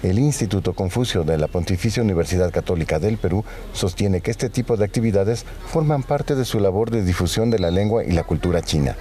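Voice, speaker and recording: A man reading a news report as a voice-over, in a measured, even delivery. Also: on the low side. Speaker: news narrator.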